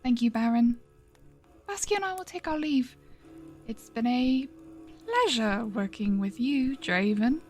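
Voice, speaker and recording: A woman playing a gentle, solemn adventurer in a fantasy horror audio drama, earnest and dramatic. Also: a little high, lively intonation, a little slow, long pauses, faint music behind. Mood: courteous.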